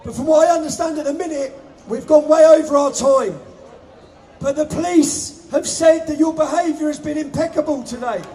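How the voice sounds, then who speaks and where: A man shouting an impassioned speech to a street rally crowd through a stage microphone. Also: extremely high pitch, normal speed. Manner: shouting to a crowd, hoarse-edged. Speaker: rally organiser speaking on stage. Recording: live outdoor rally with crowd. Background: crowd noise.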